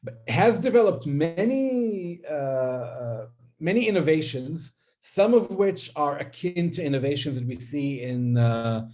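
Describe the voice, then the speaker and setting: A man whose first language is Hebrew lectures on linguistics in a slightly raised, mellow voice. He hesitates constantly, stacking fillers and restarts. He keeps checking in with his listeners by asking "right?". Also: fairly high pitch, animated, unhurried. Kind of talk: academic lecture.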